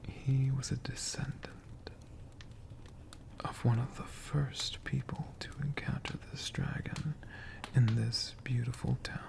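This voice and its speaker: A man whispers stories at a very unhurried crawl, in the hushed manner of ASMR. His breathy voice carries a gravelly, crackly edge. He drops in playful asides to the listener. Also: medium pitch, flat delivery. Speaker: ASMR creator in a friendly dragon persona.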